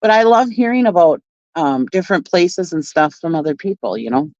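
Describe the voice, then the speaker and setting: A woman's voice: fairly low pitch, very animated, a little fast, darkish tone, dry recording. A woman hosting a casual livestream who rambles, chats with her viewers and laughs often. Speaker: channel host reacting to clips. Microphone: phone. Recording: dry, dull lo-fi sound.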